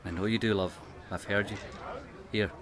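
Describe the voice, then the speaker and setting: A man acting a soft-hearted beat cop, busy street. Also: on the low side, steady tone, moderate pace, a crowd in the background, natural voice. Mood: fond, gruff. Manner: firm but indulgent. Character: soft-hearted, gruff Paris beat policeman. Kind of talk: audio drama acting. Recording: busy street noise behind voice.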